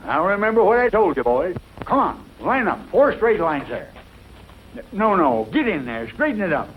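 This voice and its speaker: Kindly old western rancher, folksy n earnest, voice swings around, muffled hissy old film sound. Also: male voice, high voice, very animated, moderate pace. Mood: bustling. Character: kindly folksy old rancher who takes in stray boys. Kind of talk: 1940s western film acting.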